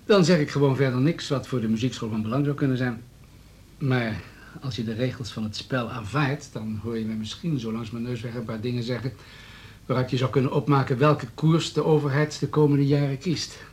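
An actor plays a male town politician in a radio drama. He is smooth and businesslike, with a condescending edge and a needling way of pressing his point. His pitch sits in the middle for a man. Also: fairly even intonation, brisk, a little mellow, lightly acted. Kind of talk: Dutch radio drama dialogue.

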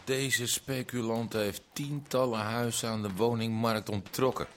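A man's voice: mid-range voice, fairly even intonation, a little slow, a little hiss or hum, close to an everyday voice. A man acting a shifty, defensive criminal accomplice in a radio drama, with an edgy, streetwise way of talking. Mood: concerned.

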